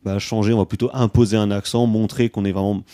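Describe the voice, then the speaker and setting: A man's voice: fairly low pitch, level tone, fast talker, dark tone, no room sound, studio quality. A man co-hosting a casual film podcast, speaking hesitantly with many fillers. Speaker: podcast co-host.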